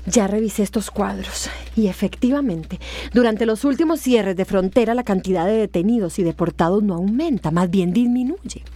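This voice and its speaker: Actress as a husky, anxious female assistant. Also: mid-range voice, expressive, normal speed, dark tone, no echo, close to an everyday voice. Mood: puzzled. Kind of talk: radio drama acting.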